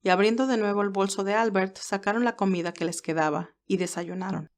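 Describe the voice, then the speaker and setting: A woman's voice: mid-range voice, fairly even intonation, fairly quick. A woman reading scripted fiction as the narrator of an audio story.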